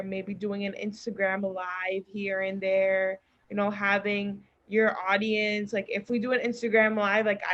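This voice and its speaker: A woman's voice: mid-range voice, a bit bright, dull audio. A woman chatting casually and earnestly as an encouraging podcast guest. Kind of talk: casual chat. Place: remote group call.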